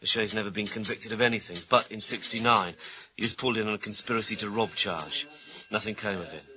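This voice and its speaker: An actor playing a cynical, grumbling junior British police detective in a radio thriller, talking bluntly and colloquially. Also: male voice, fairly low pitch, fast talker, crowd noise, close to an everyday voice, poor audio. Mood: matter-of-fact, wry. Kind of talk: naturalistic radio thriller acting.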